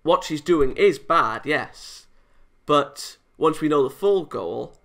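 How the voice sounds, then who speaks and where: A man doing a let's play of a visual novel. His voice is bright with a gravelly creak, and he talks in an emphatic, rambling way as he reads and gives his opinions. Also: a little high, expressive, slow pace. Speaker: gaming video host. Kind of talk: visual novel let's play, reading aloud with off-the-cuff commentary.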